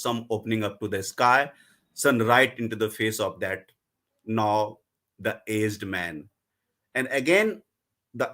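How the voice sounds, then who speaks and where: A man's voice: mid-range voice, a bit bright. A middle-aged man, a festival panelist who tells stories at length and retells scenes with gusto. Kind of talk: animated book discussion at a festival.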